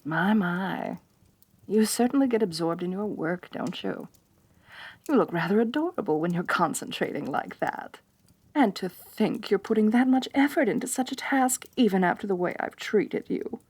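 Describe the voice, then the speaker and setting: A woman's voice: medium pitch, animated, moderate pace, a little mellow, breathy edge, dry recording. A woman playing a haughty, domineering rich lamia mistress in an ASMR roleplay, talking down to her servant. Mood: amused, approving. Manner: haughty, domineering, talks down to the listener. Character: haughty rich female lamia, bossy mistress, slightly tsundere.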